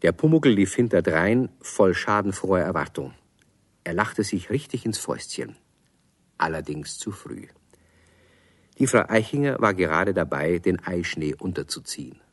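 Narrator: deep voice, measured wry storytelling for children's radio drama, close dry studio sound. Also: male voice, lively intonation, no room sound. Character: wry, unhurried male storyteller.

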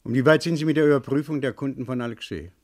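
An actor playing a formal, probing examining magistrate who speaks briskly in a German radio crime play. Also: male voice, mid-range voice, darkish tone, natural voice.

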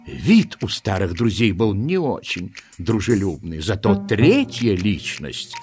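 A man narrating a children's audio play in an expressive storytelling style. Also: fairly low pitch, slow pace. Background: music.

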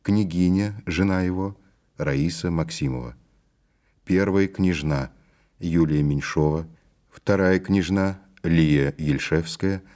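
Male announcer for formal scripted credits, extremely low voice. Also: slow pace, darkish tone.

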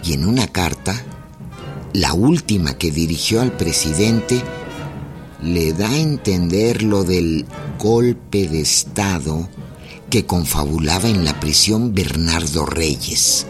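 A man's voice: low voice, animated, takes it slowly. A man narrating a scripted historical radio drama. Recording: clear music bed behind.

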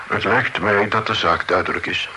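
An actor playing a courteous, authoritative magistrate in a radio drama, speaking formally and decisively. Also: male voice, lowish voice, fairly even intonation, moderate pace, pushed and bright, poor audio. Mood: brisk, decisive. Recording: radio recording with faint noise.

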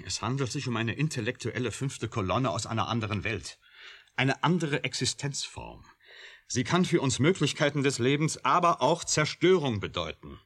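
An insistent, husky-voiced male scientist. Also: mid-range voice, sing-song, normal speed, a bit bright. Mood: vehement. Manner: insistent, emphatic, argumentative. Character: alarmed, stubborn male scientist warning against a computer. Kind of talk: German radio play acting.

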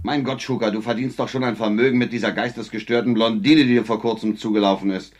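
An actor playing a shabby, broke tenant and small-time crook in a radio drama, sarcastic and wheedling. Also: male voice, lowish voice, steady tone, quick pace, soft background music, close to an everyday voice. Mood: sarcastic grumbling.

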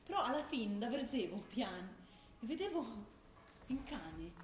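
A woman's voice: fairly high pitch, very animated, a little slow, reverberant, a little hiss or hum. An actress playing an earnest, troubled young woman militant, confiding in a dialect-tinged way. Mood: dreamy. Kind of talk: radio broadcast of a stage play.

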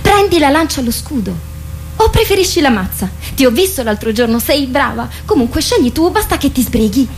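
An actress in a radio drama playing a boastful, impatient teenage warrior girl, bossy and excitable. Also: high-pitched, fairly quick, soft background music. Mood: urgent, eager.

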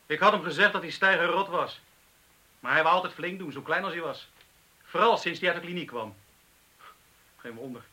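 High, piercing, agitated man. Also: normal speed, long pauses, pushed and bright, breathy edge. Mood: defensive. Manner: agitated, defensive, blunt. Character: agitated, defensive male intruder, touchy and stubborn. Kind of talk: radio drama acting.

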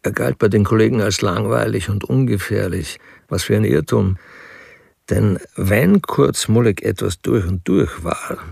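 An actor as the detached, ironic narrator of a crime story, reading scripted literary narration in a radio play. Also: male voice, on the low side, a little slow, gravelly, a little mellow, breathy edge, no room sound, studio quality, exaggerated voice. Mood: dry, ironic. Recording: music behind the voice.

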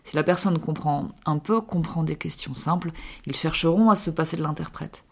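Low-voiced woman reading radio commentary. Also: normal speed, gravelly, slightly rough. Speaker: radio columnist.

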